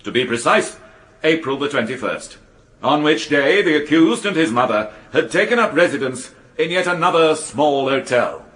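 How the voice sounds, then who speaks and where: An actor playing a stern English prosecution barrister, speaking with formal, emphatic courtroom rhetoric in a radio drama. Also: male voice, fairly high pitch, animated, moderate pace, fairly bright tone. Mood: severe, condemning. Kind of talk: radio crime drama acting.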